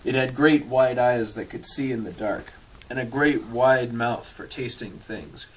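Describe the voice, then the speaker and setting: A man with a medium-pitched voice reads a storybook aloud. His delivery is dry and irreverent, with casual asides. There is some faint noise and clicking in the recording. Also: measured pace, low-quality recording. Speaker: storyteller reading a picture book aloud to an audience.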